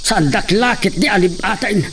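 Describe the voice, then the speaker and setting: A man playing a stubborn, proud old servant in a radio drama, with a strained high voice. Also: normal speed, fairly bright tone, far from the mic, a little hiss or hum. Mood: scolding. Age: older. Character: stubborn old male servant Ama Emiliano.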